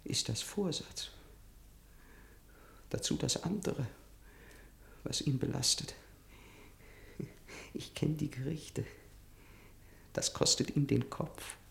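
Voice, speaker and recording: A stubborn, vengeful wounded man in a German radio play, his voice extremely creaky and breathy. He speaks slowly and haltingly through pain, weak yet resolute. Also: fairly high pitch, fairly bright tone, full character voice. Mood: bitter resolve. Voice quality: breathy, gravelly, strained. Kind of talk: German radio drama acting.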